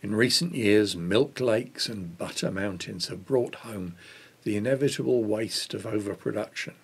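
An older man reads a devotional text aloud in a measured manner. His voice is fairly low and husky, with a strong creak running through it. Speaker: reader of a church Lent devotional. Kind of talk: reading a devotional book aloud.

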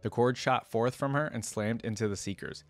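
A man's voice: mid-range voice, normal speed, no room sound. A young man, reading a story aloud and adding casual reactions, recorded indoors on a close desk mic. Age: young adult. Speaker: reaction video host. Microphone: close desk microphone, with headset worn.

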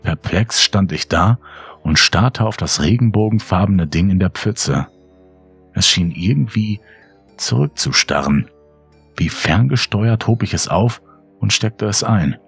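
A man's voice: fairly low pitch, lively intonation, measured pace, breathy edge. A man reading a scripted horror story as a dramatic narrator. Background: music under the voice.

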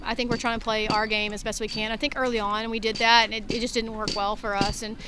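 A woman in her thirties, a softball head coach giving a candid postgame interview outdoors by the field into a handheld mic. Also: on the high side, level tone, fast talker, background noise. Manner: candid, talks through her thoughts with restarts and "I think". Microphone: handheld mic held in front of her.

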